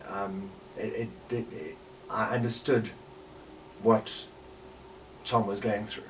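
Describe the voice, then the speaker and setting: A South African man in his forties speaks in a lowish voice, recorded a little way from the microphone. He talks reflectively and haltingly, as in an interview, often pausing and repeating words. A faint noise sits behind him. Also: level tone. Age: 40s. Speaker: podcaster and voice actor. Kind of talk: personal reflection, interview-style talk. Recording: distant mic with faint background noise.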